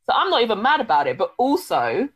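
A woman's voice: fairly high pitch, wildly swooping pitch, quick pace, husky. A woman in her late twenties co-hosting a chatty podcast, candid and reflective, and she speaks to the listeners directly. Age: late 20s. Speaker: podcast co-host. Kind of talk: casual chat.

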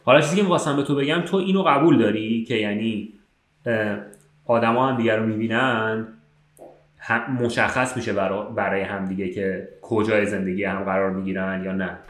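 A man chatting casually as a podcast co-host, rambling through informal, slangy explanations. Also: lowish voice. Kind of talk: casual conversational chat. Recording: roomy, echoing sound.

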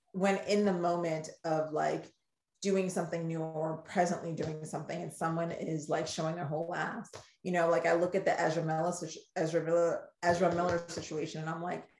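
A woman guest on a podcast, talking in a loose, animated, rambling way and acting out the things people say to her. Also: on the low side, normal speed. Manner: animated, rambling, acts out quoted exchanges. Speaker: romance author guest. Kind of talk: casual podcast chat. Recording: roomy echoey room sound.

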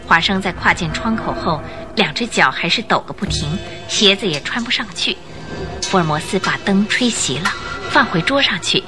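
A woman narrating a radio drama, telling the story in an expressive, suspenseful scripted style. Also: mid-range voice.

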